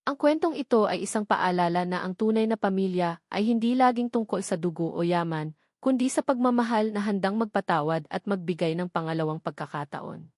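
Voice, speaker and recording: A woman's voice: medium pitch, fairly quick, darkish tone, dry recording. Earnest woman narrating a romance, close dry sound. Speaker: storyteller and channel narrator. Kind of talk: scripted dramatic narration of a romance story.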